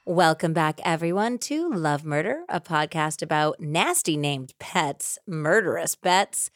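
A woman's voice: sits low, expressive, unhurried, no room sound, studio quality. A woman co-hosting a podcast, mixing casual chat with true-crime storytelling in a playful, teasing way. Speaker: podcast co-host.

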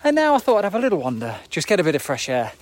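A man's voice: sits high, huge pitch swings, racing. A man in his forties giving a casual, reflective motivational talk as a running coach on a live video, often checking in with rhetorical questions. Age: 40s. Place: outdoors, walking uphill near an airport.

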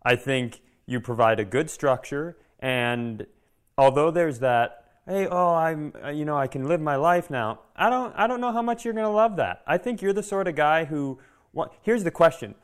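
A man's voice: on the high side, lively intonation, moderate pace. A man co-hosting a podcast, chatting casually and candidly in a rambling way with joking, profane asides. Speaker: podcast co-host. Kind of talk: casual comedic chat.

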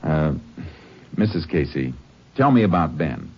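Low-voiced Texas Ranger, old radio. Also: male voice, very animated, measured pace, breathy edge, heavily acted. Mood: businesslike. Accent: American. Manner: terse, businesslike questioning. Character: calm, authoritative Texas Ranger investigator. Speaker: lead actor and narrator. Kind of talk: 1950s radio drama acting. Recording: muffled 1950s radio recording, loud music.

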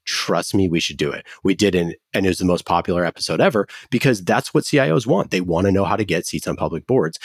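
A man with a very low, husky voice, recorded close and dry. He speaks as an interview guest, informal and anecdotal, explaining business ideas in a loose conversational way. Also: no echo. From United States, California. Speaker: podcast guest, company founder. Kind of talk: casual business interview talk.

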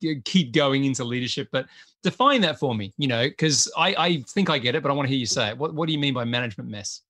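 A man's voice: mid-range voice, expressive, fast, fairly bright tone. A man hosting an interview in a casual, conversational way, often repeating words as he starts a sentence. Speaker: podcast host.

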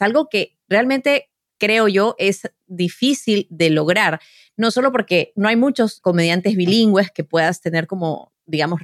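A woman's voice: medium pitch, no room sound, studio quality. A woman co-hosting an interview, asking long, carefully framed questions. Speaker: podcast co-host.

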